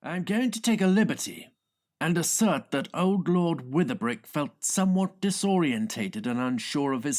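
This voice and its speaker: A man narrating scripted comic fiction as an audiobook reader, with a theatrical storytelling delivery. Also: medium pitch.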